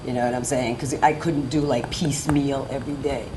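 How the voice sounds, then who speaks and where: A woman's voice: extremely low pitch, fairly even intonation, brisk. A woman on an art school panel, speaking emphatically in a casual, conversational way. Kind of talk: panel discussion. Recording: noisy room recording.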